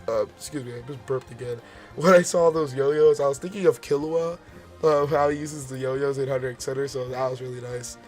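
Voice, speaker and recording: Hoarse man rambling through trailer commentary. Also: medium pitch, fairly even intonation, a little fast, a little mellow, soft background music. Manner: rambling, many fillers and restarts; forcing his voice out. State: hoarse, losing his voice. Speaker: gaming video host.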